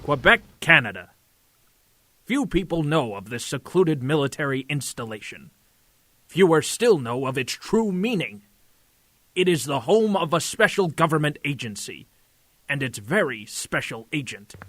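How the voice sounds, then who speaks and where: A man playing a portentous narrator, delivering scripted audio drama scene-setting in deliberate phrases. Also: on the high side, sing-song, a bit bright, no echo, heavily acted. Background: music.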